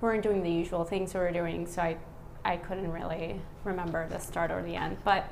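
A woman in her thirties from Iraq, a physician speaking as a podcast guest. She talks in a relaxed, reflective way, with many "um" and "like" fillers. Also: lowish voice, a little fast, vocal fry, a little hiss or hum, poor audio. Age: 30s. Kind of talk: casual conversational interview.

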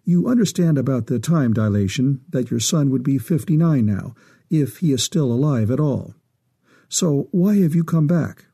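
Older official, read by a male narrator: measured, formal, very dark husky tone, story reading. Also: mid-range voice, animated. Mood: formal, guarded.

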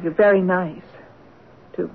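An actress playing a distraught, naive young wife, plaintive and halting, in an old-time radio drama. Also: female voice, lowish voice, fairly even intonation, creaky. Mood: subdued, worried. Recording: tinny, hissy old radio recording.

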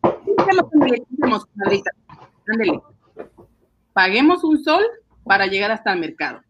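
A woman's voice: fairly high pitch, sing-song, normal speed, highs cut off, slight background noise. A woman with a Peruvian accent playing a fussy, affectionate housewife and mother in an amateur radio drama, coaxing and exclaiming. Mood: chatty, fussy. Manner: exclaiming, repetitive, coaxing, sighing. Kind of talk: amateur Spanish-language radio drama acting.

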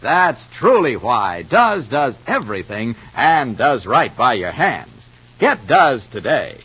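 A dull old radio recording features a male announcer selling with emphasis. His mid-pitched voice has a husky edge and swings very widely in classic commercial style. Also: sing-song, slow, a bit bright. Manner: emphatic salesmanship. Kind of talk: 1950s radio advertising pitch.

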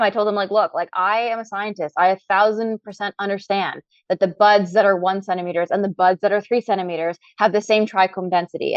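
Fast-talking woman scientist guest. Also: mid-range voice, no echo, highs cut off. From Trinidad, raised in Canada. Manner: chatty, lots of "like" fillers. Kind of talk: casual podcast interview.

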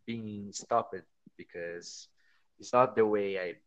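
A man whose first language is Portuguese, with a Brazilian accent, chatting casually as an interview guest and often pausing to search for words. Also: lowish voice, fairly bright tone, no room sound, rough recording. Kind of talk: casual conversation.

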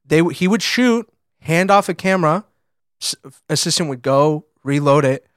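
An American man co-hosting a casual conversation, telling stories in an animated, slangy way and peppering his talk with "like". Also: on the high side, moderate pace, smooth, no room sound, studio quality. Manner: animated storyteller, swears casually, frequent "like". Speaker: podcast co-host and photographer.

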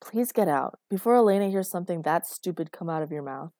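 An earnest, caring father in his thirties, acted by a woman, protesting and put-upon. The tone is dark and relaxed, in a dry storybook recording. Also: on the low side, fairly quick, dry recording. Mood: angry, firm. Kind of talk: audiobook character dialogue.

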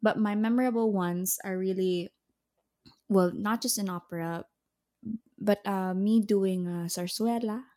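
A young woman, an opera soprano, talking casually, pausing often with fillers and restarts. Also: mid-range voice, fairly even intonation, dark tone. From Philippines. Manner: hesitant, many fillers and restarts, occasional laughs. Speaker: opera singer, soprano guest. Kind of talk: casual interview chat.

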